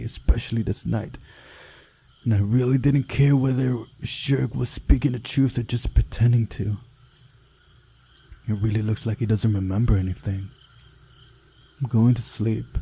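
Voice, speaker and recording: A man narrates a visual novel in a very dark, mellow voice with a gravelly creak. He reads in a subdued, unhurried storytelling manner, with a husky grain to the sound. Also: fairly low pitch, flat delivery. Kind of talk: narrated read-aloud of a visual novel, voicing characters.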